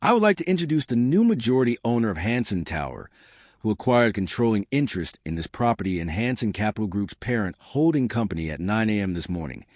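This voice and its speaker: A resolute woman laying out evidence against her abuser in a measured, accusing tone, read by a man. The voice sits low but moves expressively. It is recorded dry and close in a storybook reading. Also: dry recording. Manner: measured, accusing, deliberate delivery. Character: steely Nigerian woman exposing her abuser.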